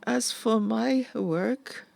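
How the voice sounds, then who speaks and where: Interpreter at a museum talk, very slow and halting, heavy vocal fry. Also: medium pitch, a little mellow. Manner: halting, with an "uh" before nearly every phrase.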